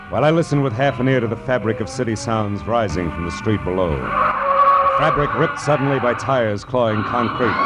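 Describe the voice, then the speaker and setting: An American actor playing a cynical, grim private detective, narrating a 1940s radio drama in a terse, world-weary way. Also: male voice, on the low side, close to an everyday voice, poor audio. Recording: vintage 1940s radio broadcast, music underneath.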